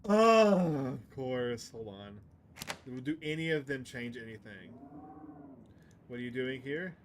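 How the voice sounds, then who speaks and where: A man's voice: medium pitch, lively intonation, slow, slight background noise. A man giving casual game commentary as a streamer, muttering half-thoughts and reading on-screen story text aloud. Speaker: streamer playing a visual novel.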